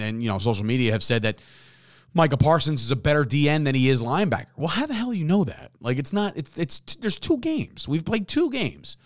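A man hosts a sports podcast and talks casually, full of restarts, repeated words and quick "right?" checks. His voice sits in a middle pitch. The recording is dry and close. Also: no room sound. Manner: animated, repeats words and asks "right?" often. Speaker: podcast host.

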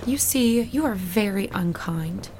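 A woman's voice: mid-range voice, animated, measured pace, background noise, close to an everyday voice. A woman playing a meek, prim, anxious young mistress in an audio drama, polite, timid and pleading. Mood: timid, hurt.

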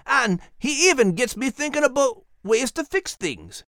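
A man's voice: extremely high pitch, sing-song, moderate pace, a bit bright, no room sound, studio quality, voice pushed up, exaggerated voice. A man voicing a plucky, kindly animal adventurer with a Scottish accent and a homely, earnest manner.

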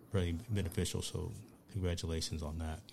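A deep-voiced man hosting an interview, eager and upbeat. He talks casually, often stumbling over and repeating his words. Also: flat delivery, fast, creaky, background noise. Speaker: podcast host.

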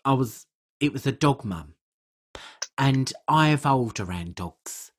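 A podcast co-host with a medium-pitched voice talks in a casual, comic style. The delivery is chatty and rambling, with colloquial grammar that keeps it informal. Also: expressive, slow, slightly rough.

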